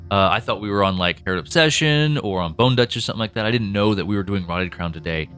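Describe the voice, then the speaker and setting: Man, low voice, casual podcast co-host, rambling, soft music behind. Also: fast, clean tone. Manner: rambling, restarts often, laughs easily. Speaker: co-host and writer.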